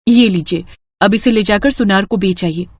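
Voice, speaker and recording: A woman's voice: mid-range voice, moderate pace, darkish tone, dry recording, clean studio sound, lightly acted. A woman voicing a caring, cautious mother in a cartoon story, speaking earnestly and protectively. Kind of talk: cartoon voice acting.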